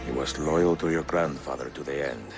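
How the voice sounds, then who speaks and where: A man playing a grave, middle-aged Italian master assassin in a video game, speaking with a measured, solemn Italian accent. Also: rumbling low. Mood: somber. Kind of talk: video game cutscene acting. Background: cinematic game music.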